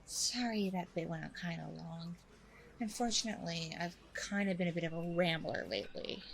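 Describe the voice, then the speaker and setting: Female coach role played by a woman for ASMR roleplay: gravelly fry, rambling, self-assured, reassuring, faint noise behind. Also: fairly low pitch, lively intonation, normal speed. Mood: apologetic, chatty. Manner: rambling, hesitant asides, reassuring. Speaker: dating coach character in audio roleplay. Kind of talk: ASMR roleplay, one-sided conversation with the listener.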